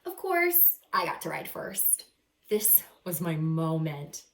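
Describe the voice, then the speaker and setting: Animated woman reading aloud in an echoey room. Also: deep voice, takes it slowly, creaky, a little hiss or hum. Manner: animated, acts out characters, stretches key words. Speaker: second-grade teacher reading aloud. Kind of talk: expressive picture-book read-aloud with asides to children. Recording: roomy echo, some distance from mic.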